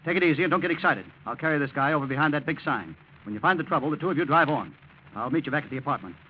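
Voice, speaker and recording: A man's voice: a little high, lively intonation, rapid-fire, close to an everyday voice. Through a dull, hissy old broadcast comes an actor as a cool-headed man in a vintage radio thriller. He speaks in clipped, slangy phrases and takes command like someone used to a crisis. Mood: urgent, commanding. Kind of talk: 1940s radio drama acting. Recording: dull, noisy old-time radio recording.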